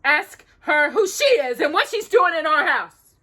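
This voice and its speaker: A woman putting on a gruff grumbling dwarf. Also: sits high, big pitch swings, measured pace, sharp tone, pitched above the performer's own voice, heavily acted. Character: grumpy little dwarf man, gruff and surly.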